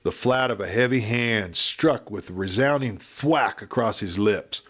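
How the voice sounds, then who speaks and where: A man narrating a Western audiobook with expressive, dramatic storytelling. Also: lowish voice, measured pace.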